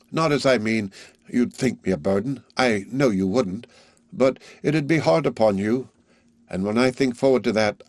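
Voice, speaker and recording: A man reading aloud, voicing an old, lonely village weaver who talks to his daughter in a slow, subdued, tender way. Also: medium pitch, darkish tone, no echo, clean studio sound, lightly acted.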